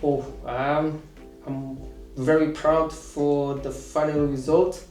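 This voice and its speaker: A man in his thirties, a course student, answering interview questions with many pauses and restarts. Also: a little high, flat delivery, darkish tone, roomy sound, soft background music, low-quality recording. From Portugal. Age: 30s. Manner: hesitant, many fillers and restarts.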